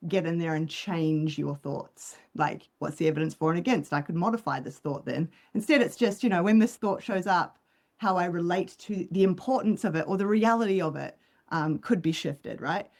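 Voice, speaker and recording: A woman with a New Zealand accent softened by Australian tones, a psychologist talking through ideas in a relaxed podcast chat on a video call, with many ums and restarts. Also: on the low side. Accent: New Zealand English with Australian overlay. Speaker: clinical psychologist guest. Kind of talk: casual podcast conversation.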